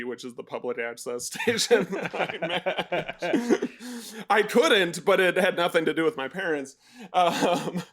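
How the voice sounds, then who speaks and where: A man co-hosting a film podcast, talking casually in a rambling way with pauses and restarts. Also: on the high side, fairly bright tone. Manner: rambling, many fillers and restarts. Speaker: podcast co-host. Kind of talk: casual chat.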